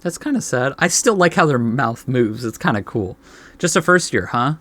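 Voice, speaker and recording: A man's voice: medium pitch, lively intonation, normal speed, a bit bright. A man reading game text aloud as a let's play host, adding laid-back asides.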